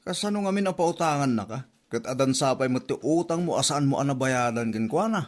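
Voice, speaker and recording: Actor as lazy male villager, husband, medium pitch, offhand, grumbling, comedy drama. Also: animated, fairly quick, close to an everyday voice. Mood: scolding. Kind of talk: comedy radio drama acting.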